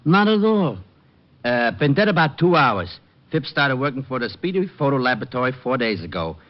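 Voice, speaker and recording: An actor playing a bumbling, dim-witted police sergeant with hesitant, flustered replies in a 1940s radio drama. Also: male voice, mid-range voice, very animated, a little fast, natural voice. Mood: eager. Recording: dull vintage radio broadcast.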